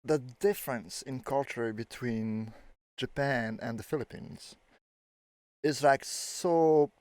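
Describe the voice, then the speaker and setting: A man's voice: mid-range voice, slow pace, a little mellow, no echo. A man in his thirties from France with a French accent, chatting casually as host, rambling with lots of fillers and the odd swear. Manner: rambling, filler-heavy, swears when surprised. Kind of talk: casual chat.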